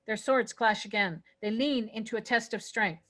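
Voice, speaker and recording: A woman's voice: on the high side, moderate pace, a bit bright. A woman reading stage directions as narrator in a scripted fantasy table read.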